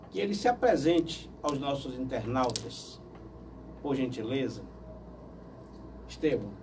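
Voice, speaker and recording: A man hosting a weekly web interview, formal in greeting and loose in his questions. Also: mid-range voice. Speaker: interview host. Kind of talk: interview presenting. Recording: distant mic with faint noise.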